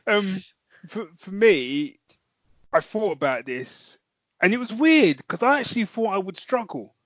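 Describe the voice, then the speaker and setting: A man's voice: high voice, very animated, a little slow, pauses often, no echo. A man with a British accent co-hosting a podcast, chatting casually and teasing his co-host with frequent laughs. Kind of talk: casual chat.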